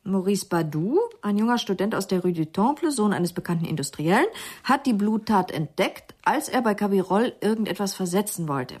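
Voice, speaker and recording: An actress playing a private detective's wry, brisk secretary in a radio play, dry and matter-of-fact. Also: female voice, mid-range voice, expressive, darkish tone, slightly rough. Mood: matter-of-fact reading.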